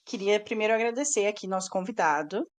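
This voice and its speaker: A woman's voice: mid-range voice, fast talker. A Brazilian woman hosting a podcast, steadily moderating the conversation. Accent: Brazilian Portuguese. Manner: steady, moderating, wrapping up points. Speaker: podcast host. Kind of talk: casual chat.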